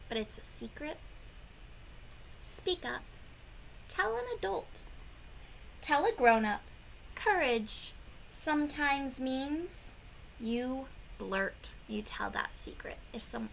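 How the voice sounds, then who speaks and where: A woman reading a picture book aloud to young children, with expressive emphasis and questions to her listeners. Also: sits high, vocal fry, slight background noise, poor audio. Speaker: children's read-aloud host.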